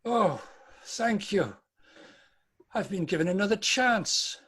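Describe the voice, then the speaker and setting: A man's voice: sits high, expressive, takes it slowly, fairly bright tone, breathy edge, full character voice. A British man playing an anguished, repentant old miser in a theatrical, pleading dramatic table read. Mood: joyful.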